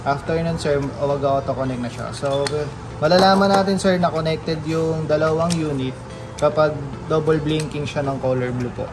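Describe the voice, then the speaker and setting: A man's voice: on the high side, fairly even intonation, moderate pace, poor audio. A Filipino man working as shop staff, politely explaining a product step by step in an informal demonstration. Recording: music playing behind the voice. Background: music.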